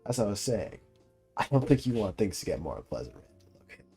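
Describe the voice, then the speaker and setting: A man's voice: on the low side, big pitch swings, fairly quick, dark tone, slightly rough, faint music behind. A young man giving casual commentary as he plays a video game, slangy, profane and teasing. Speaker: streamer reacting to a video game.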